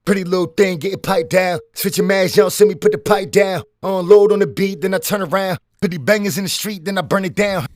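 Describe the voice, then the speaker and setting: A man's voice: sits high, brisk, hardly any pauses. A man rapping brashly on his own track, a boastful flow. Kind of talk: rap vocal from a song being mixed.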